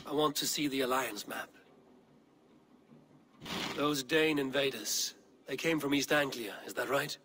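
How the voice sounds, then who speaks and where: A man playing a stern, commanding Viking clan leader in a video game, speaking in a firm, measured, authoritative way. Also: mid-range voice, fairly even intonation, pushed and bright. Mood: grave, resolute. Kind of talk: dramatic video game acting.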